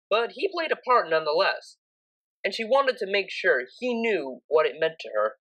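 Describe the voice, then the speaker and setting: Woman narrating a story with lively intonation. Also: on the low side, rough recording. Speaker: audiobook narrator. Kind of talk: expressive scripted story narration.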